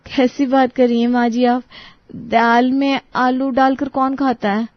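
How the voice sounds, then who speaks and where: Woman acting a high-voiced, expressive young daughter-in-law. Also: measured pace, no echo, dull audio. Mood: protesting politely. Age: young adult. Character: young daughter-in-law, polite but exasperated and scheming. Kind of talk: dramatized story dialogue.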